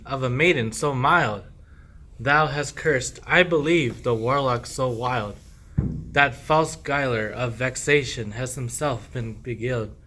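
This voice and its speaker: Man acting a slow-spoken humble medieval shepherd. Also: medium pitch, sharp tone. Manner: declamatory, slow rhymed verse. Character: humble medieval shepherd, wronged yet devout. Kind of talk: amateur radio play, verse mystery play.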